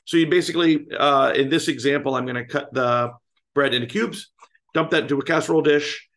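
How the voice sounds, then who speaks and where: A man in his late fifties with a slightly hoarse voice, co-hosting a podcast in casual chat, dropping playful deadpan asides. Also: a little high, brisk. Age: 50s. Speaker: podcast co-host.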